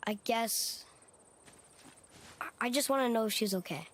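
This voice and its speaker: A man's voice: extremely high pitch, a little slow, fairly bright tone. A young male actor playing an earnest, hopeful boy who is curious, sensitive and a little hesitant, in a video game. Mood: wistful, earnest.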